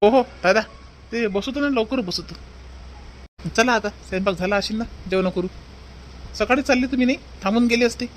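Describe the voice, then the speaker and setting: A man voicing a young village farmer in a family cartoon, halting and deferential, with a rural Vidarbha Marathi accent. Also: very high voice, slight background noise, low-quality recording. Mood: deferential, defensive.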